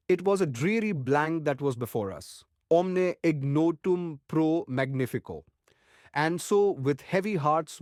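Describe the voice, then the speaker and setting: A man voicing an earnest foreign professor and monster hunter in an audiobook, with halting grammar and grand rhetoric. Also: on the high side, no echo, clean studio sound. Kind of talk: dramatised audiobook narration.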